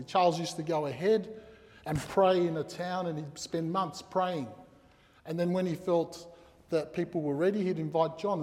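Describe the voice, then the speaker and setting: A man's voice: fairly high pitch, darkish tone. Preacher, male, native Croatian speaker; earnest, emphatic delivery with rhetorical questions, in a reverberant hall. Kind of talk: sermon.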